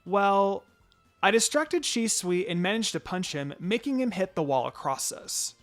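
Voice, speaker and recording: A man with a fairly high voice narrates a first-person tale in a dramatized way. His pitch moves around a great deal, and music plays behind him. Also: lively intonation, unhurried. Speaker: fan fiction reader. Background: background music.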